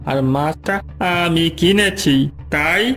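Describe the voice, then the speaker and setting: A man playing a high-pitched, bullying shop boss. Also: slow pace, music in the background. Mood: possessive, scheming. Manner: curt, domineering, threatening. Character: greedy, bullying male fish shop owner. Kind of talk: cartoon character dialogue.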